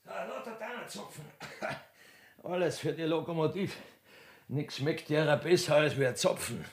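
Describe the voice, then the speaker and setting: A man's voice: a little high, expressive, moderate pace. A man acting a simple, chatty railway stoker's helper in a radio play, with a rustic Austrian dialect and a folksy, rambling manner. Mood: amused, chatty.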